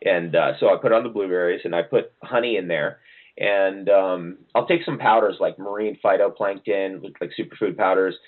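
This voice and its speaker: A man from New Jersey chats as an interview guest in a low, easygoing voice. He rambles and restarts often, filling pauses with ums. The sound is narrow and phone-like. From New Jersey, USA. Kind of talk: casual interview answers.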